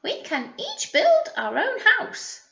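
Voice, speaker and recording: Woman voicing an eager, high-pitched little pig. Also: slow, creaky, echoey room, dull audio, exaggerated voice. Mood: eager, excited. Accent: British. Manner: excitable, bright childlike exclaiming. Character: eager young little pigs from a storybook.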